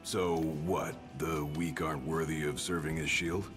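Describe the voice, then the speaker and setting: Brief: a cocky young guard, deep and blunt. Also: male voice, fairly even intonation, normal speed. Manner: blunt, cocky, casual speech. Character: brash young male royal bodyguard. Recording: game audio with music.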